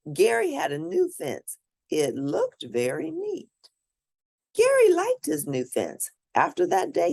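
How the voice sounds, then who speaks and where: A woman's voice: fairly low pitch, vocal fry, husky. A woman reading a children's picture book aloud expressively, as a storyteller for young listeners who points out the pictures. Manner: animated, pauses to point out pictures.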